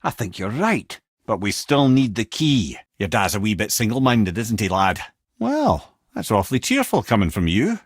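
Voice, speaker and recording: An old Scottish talking head from a fantasy game, wise and wry. His pitch swings freely as he speaks like an eloquent, avuncular storyteller, in a close, dry recording. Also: big pitch swings, normal speed, husky, no echo. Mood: wry, teasing. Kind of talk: video game character acting, storytelling.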